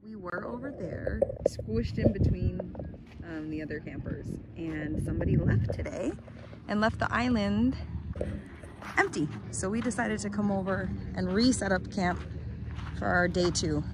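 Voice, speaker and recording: A woman's voice: mid-range voice, a little slow, background noise. A woman narrating her camping vlog in a friendly, chatty way, talking straight to her viewers. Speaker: vlogger. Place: outdoors at a desert lakeside camp.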